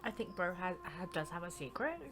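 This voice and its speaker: Female game streamer, slangy and playful, animated pitch swings, game music clearly behind her. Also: brisk. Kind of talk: casual playthrough commentary.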